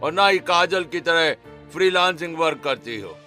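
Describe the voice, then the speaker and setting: A man's voice: on the high side, expressive, normal speed, sharp tone, faint music behind. A man playing a stern, taunting father-in-law in a cartoon story, reproachful toward his daughter-in-law.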